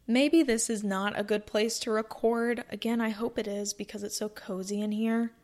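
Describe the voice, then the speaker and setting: A woman's voice: a little high, fairly even intonation, normal speed, darkish tone. Young woman in her twenties, podcast host, rambling casual chat, upbeat, cozy room. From North Carolina, US. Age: 20s.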